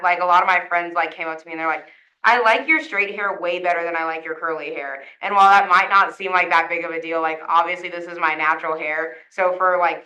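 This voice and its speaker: A woman's voice: lowish voice, bright, forceful tone, poor audio. A young woman sharing a personal story, casual and hesitant with many fillers. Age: young adult.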